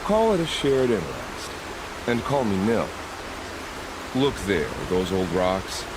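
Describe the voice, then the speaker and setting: An actor playing an eerie, bloodthirsty killer in a video game, with silky, theatrical menace. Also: male voice, on the low side, noisy background, heavily acted. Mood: sly relish. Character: eerie, bloodthirsty male killer who relishes hunting bandits. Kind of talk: video game dialogue acting. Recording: steady rushing outdoor ambience behind.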